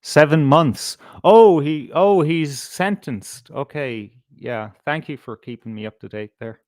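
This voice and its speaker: A man's voice: fairly high pitch, a little slow, darkish tone, no room sound, clean studio sound. A man hosting a live stream, talking casually in a rambling, sweary, unscripted way. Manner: rambling, sweary, easily distracted. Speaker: host of a live stream show.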